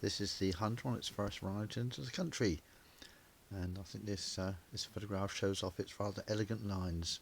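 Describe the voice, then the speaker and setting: An older man with a croaky, sore-throated voice, talking through a motorcycle video at a measured pace from his garage. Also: lowish voice, fairly even intonation, a little hiss or hum. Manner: measured, gentle, with frequent pauses and fillers. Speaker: motorcycle vlogger. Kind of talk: casual narrated walkaround. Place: indoor garage.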